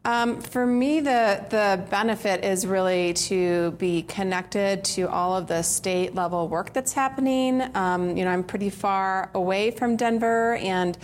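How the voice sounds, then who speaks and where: A woman's voice: mid-range voice, a little slow, few pauses, slight background noise. A woman from Colorado answering interview questions, hesitant with many fillers. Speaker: early childhood council representative.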